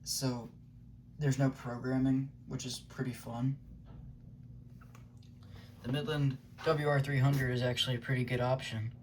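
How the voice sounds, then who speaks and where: A man's voice: medium pitch, little pitch movement, measured pace, pauses often, a little mellow. Casual man reviewing gear, background noise. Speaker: weather radio hobbyist reviewing gear. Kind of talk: casual unscripted product review.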